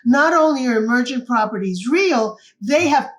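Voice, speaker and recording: A woman's voice: on the high side, lively intonation, moderate pace. An older woman from Cuba, a guest author explaining ideas in an interview and often checking in with "correct?".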